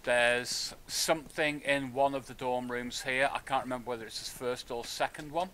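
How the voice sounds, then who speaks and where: A man's voice: mid-range voice, steady tone, normal speed, pushed and bright, a little hiss or hum. A man streaming a video game, giving casual, wry commentary as if half to himself, with game audio behind him. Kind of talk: casual live gameplay commentary. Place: indoors at a streaming setup.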